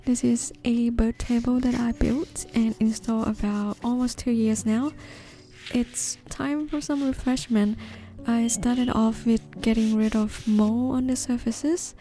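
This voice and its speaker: A woman from northeastern Thailand, gently narrating a gardening video in a measured, explanatory way. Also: on the high side, fairly even intonation, faint music behind. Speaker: gardening vlogger. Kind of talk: calm scripted voice-over narration.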